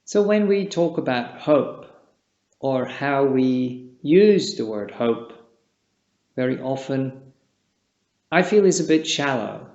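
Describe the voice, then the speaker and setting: A man from Switzerland, a native Czech speaker, giving a gentle, halting dharma talk as a Buddhist meditation teacher, with long pauses. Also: medium pitch, lively intonation. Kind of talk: unscripted dharma talk at a retreat. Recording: echoey room, dull lo-fi sound.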